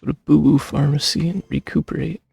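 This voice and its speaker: A man with a gravelly, husky voice giving casual commentary as he streams a video game. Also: fairly high pitch, steady tone, fairly quick, a little mellow. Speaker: gaming streamer. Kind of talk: casual live playthrough commentary. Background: game music.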